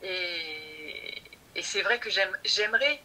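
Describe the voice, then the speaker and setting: A woman's voice: medium pitch, slow, sharp tone, rough recording. A woman speaking as a podcast guest about her teacher training, thoughtful and hesitant, often restarting her sentences. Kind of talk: conversational interview.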